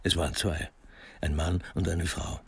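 A man with a creaky voice narrating a scripted radio crime drama. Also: low-pitched, level tone, moderate pace.

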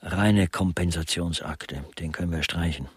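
An actor playing a sardonic artist turned amateur investigator in a radio play, talking in a mannered, literary, dryly ironic way. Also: male voice, deep voice, unhurried, husky, no room sound, studio quality, heavily acted. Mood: sardonic, probing. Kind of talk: German radio play dialogue.

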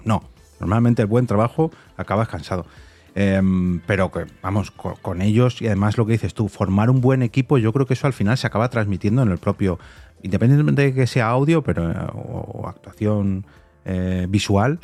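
Man, Castilian Spanish accent, lowish pitch, podcast interviewer, informal, reflective, faint music behind. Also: brisk, darkish tone. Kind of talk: conversational interview.

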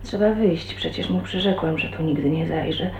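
An actress playing a shy, heartbroken young woman in a radio drama, often murmuring to herself in a hushed, breathy way. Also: sits low, moderate pace. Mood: agitated, searching. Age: young adult. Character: shy, heartbroken 29-year-old woman leaving her husband. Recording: tinny, band-limited 1980s radio recording, hissy.

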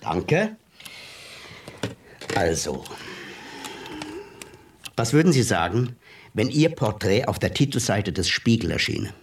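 A suave, menacing Swiss visitor. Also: male voice, on the low side, big pitch swings, slow, pauses often, a little hiss or hum, heavily acted. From Switzerland. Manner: smooth, theatrical, quietly menacing. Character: suave male Swiss visitor making an offer. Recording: distant mic with music behind.

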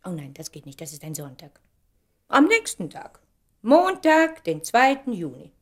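An ambitious theatre star in a radio play, madly in love. She speaks theatrically at a middling pitch, moving between breathy murmurs and eager exclamations. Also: wildly swooping pitch, a little slow. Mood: tender, dreamy. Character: effusive, ambitious stage actress Valerie, dreamy and in love. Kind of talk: German radio play acting.

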